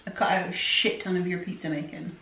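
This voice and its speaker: A woman's voice: lowish voice, fairly even intonation, brisk, vocal fry, pushed and bright, a little hiss or hum. A woman in her forties, raised in Scotland, answering viewer questions at home in a rambling, chatty way. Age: 40s. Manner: rambling, chatty, self-interrupting. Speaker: vlogger. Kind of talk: casual Q&A chat. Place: home room. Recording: echoey room from far off.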